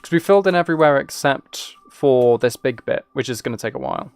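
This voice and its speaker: A man's voice: mid-range voice, fairly quick, vocal fry, clean tone, dry recording. A twenty-year-old man chatting casually as he streams a game, rambling along in a joking, teasing way. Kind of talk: casual chat while playing a game.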